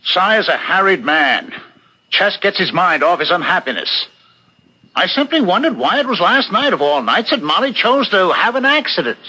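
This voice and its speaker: An actor playing a reasonable, loyal friend with a deliberate, questioning manner in a 1970s radio mystery. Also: male voice, sits high, very animated, sharp tone, slightly rough, heavily acted, rough recording. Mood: exasperated, defensive. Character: reasonable, probing husband, loyal friend of a suspect.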